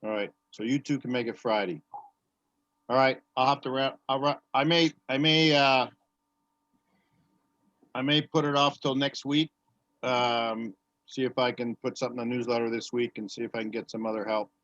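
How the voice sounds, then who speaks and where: A man's voice: mid-range voice, fairly even intonation, moderate pace, long pauses, a bit bright, dry recording. A man in casual group chat, rambling with restarts as he organizes volunteer help. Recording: dry, dull lo-fi call audio.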